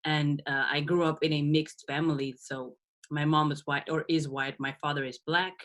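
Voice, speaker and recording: A woman's voice: low voice, steady tone, normal speed, a bit bright. A woman in her thirties from Belgium, a podcast guest talking through her past in a reflective way, with frequent laughs and restarts. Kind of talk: casual interview.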